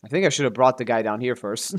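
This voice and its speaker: A man's voice: mid-range voice, lively intonation, fast talker. A male streamer with a mid-range voice talks casually over his gameplay. He chats as if replying to viewers, often trailing off or hedging as he works through a thought. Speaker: video game streamer. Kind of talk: casual livestream gaming commentary and chat.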